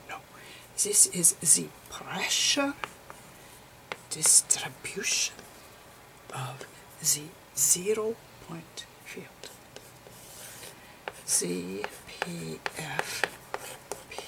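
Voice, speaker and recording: Whimsical foreign professor played by a woman, whispered ASMR lecture, slow and patient, creaky edges. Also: fairly low pitch, heavily acted. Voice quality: whispery, breathy. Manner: halting non-native grammar, gentle explaining. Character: eccentric male foreign physicist channeling Einstein, patient, whimsical.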